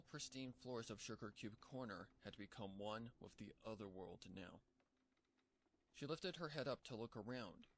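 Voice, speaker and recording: A man reading a dark fan fiction story aloud as scripted narration. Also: on the low side, a little hiss or hum.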